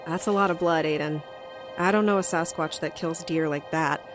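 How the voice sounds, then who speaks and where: A woman's voice: low-pitched, moderate pace, natural voice. An actress playing a tough, sardonic young woman who talks bluntly and narrates her own story in an audio drama. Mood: tense. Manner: wry, blunt, foul-mouthed. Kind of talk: audio drama dialogue and first-person narration. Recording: music bed under the voice.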